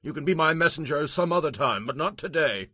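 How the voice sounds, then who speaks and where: A stern army commander who is blunt and curt, as a man puts him on in a Bible story reading. The voice is raised above the man's own, bright and edged, and recorded close and dry. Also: on the high side, lively intonation, fairly quick, breathy edge, no room sound, voice pushed up, exaggerated voice.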